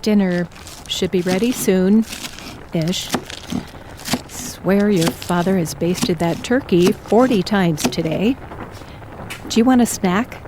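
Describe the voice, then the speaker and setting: An actress playing a measured, insistent middle-aged mother who is a doctor, in an audio drama. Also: mid-range voice, background noise, close to an everyday voice. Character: firm, pushy middle-aged mom, a doctor.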